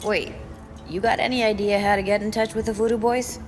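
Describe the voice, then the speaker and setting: An actress playing a sincere, caring young woman in a video game, earnest and halting in her speech. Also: medium pitch, steady tone, faint music behind, natural voice. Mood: anxious, earnest. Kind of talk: naturalistic video game acting.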